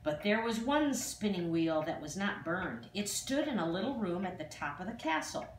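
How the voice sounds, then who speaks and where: An older woman reads a children's fairy tale aloud, with expressive, dramatic emphasis on exclamations. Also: medium pitch, moderate pace. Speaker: storyteller. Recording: distant, echoey room with faint noise.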